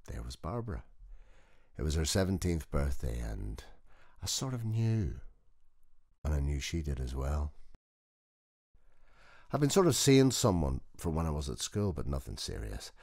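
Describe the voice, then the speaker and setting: A man performing a dramatic audiobook monologue as an old working-class widower mechanic, gentle, proud and nostalgic, telling his story in a conversational way. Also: a bass voice, very animated, moderate pace, pauses often, exaggerated voice. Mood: tender, nostalgic. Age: older.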